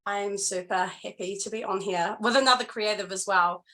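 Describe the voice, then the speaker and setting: Earnest New Zealand woman, bright voice. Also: medium pitch. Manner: earnest, reflective, halting with many pauses. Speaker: guest artist. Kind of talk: casual interview chat.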